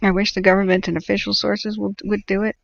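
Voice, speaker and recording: A woman hosting a wildfire-tracking livestream, her throat ragged and exhausted, talking to viewers in a rambling, halting way full of fillers. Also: medium pitch, little pitch movement, creaky, highs cut off. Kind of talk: casual livestream talk to viewers.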